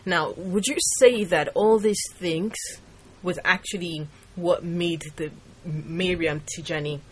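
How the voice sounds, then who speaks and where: Low, husky-voiced woman interviewer. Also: slow. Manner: supportive, frequent backchannels. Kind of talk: interview questions.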